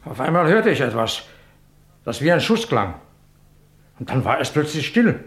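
Ein Schauspieler spielt in einem Krimihörspiel einen unsicheren, ausweichenden Angestellten, der verhört wird und zögernd antwortet. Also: male voice, fairly high pitch, big pitch swings, moderate pace, fairly bright tone, reverberant. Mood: guarded, uneasy. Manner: hesitant, guarded. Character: uneasy, evasive dismissed male employee questioned by police. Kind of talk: German radio crime play acting.

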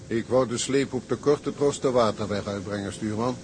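An actor playing a tug captain in a Dutch radio drama, a weary, pliant skipper with a curt way of giving orders. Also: male voice, fairly low pitch, normal speed, a little mellow, natural voice. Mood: yielding. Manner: curt orders, gives way readily. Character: weary, pliant male tug captain, anxious about coal. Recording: noisy ship ambience behind.

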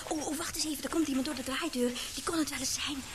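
Casting: actress as gossipy female receptionist, radio drama, high bright-mannered chatter, clearly noisy recording. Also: steady tone, fast talker, lightly acted. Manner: courteous, chatty. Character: chirpy, gossipy young female newspaper receptionist. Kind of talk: Dutch radio play acting.

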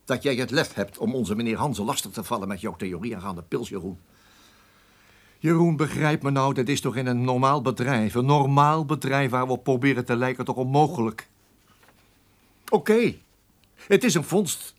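A man's voice: mid-range voice, normal speed, lightly acted. An actor playing an exasperated, sarcastic male boss who rants and stammers with indignation in a radio play.

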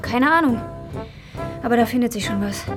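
A woman's voice: fairly high pitch, moderate pace, music in the background, natural voice. An actress playing a young mother, polite and resolute under worry, in a naturalistic radio drama. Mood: worried. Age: young adult.

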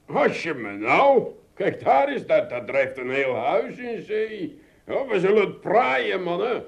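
An actor playing a hearty, commanding merchant ship captain in a radio play. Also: male voice, high-pitched, sing-song, slow, vocal fry, exaggerated voice. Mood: surprised, commanding.

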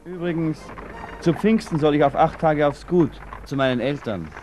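An actor playing a lovesick, evasive young gentleman, brooding and wistful, in 1960s radio drama style. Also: on the high side, normal speed, faint music behind, close to an everyday voice. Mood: dry, teasing. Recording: noisy old radio play with outdoor ambience.

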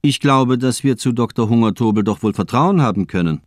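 A male actor plays a suave, worldly surgeon in a radio play. His mid-pitched voice is polished and formal, composed yet quietly menacing, and recorded very dry. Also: lively intonation, a little fast, no echo, natural voice. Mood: smooth, guarded. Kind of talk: radio drama acting.

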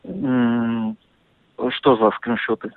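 A man talking over the phone, animated and indignant, full of fillers and restarts. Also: mid-range voice, drawn-out words, no echo. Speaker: interviewee on a phone call. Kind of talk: casual phone conversation. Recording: dry tinny phone line.

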